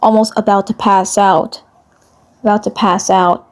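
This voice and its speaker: A woman narrating a fan fiction story, reading haltingly and often repeating phrases. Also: mid-range voice, little pitch movement.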